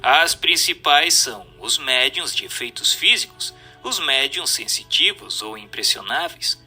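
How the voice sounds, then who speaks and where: A man's voice: fairly high pitch, sharp tone, breathy edge. A Brazilian man reading a book aloud as scripted narration, in a formal, didactic and emphatic manner.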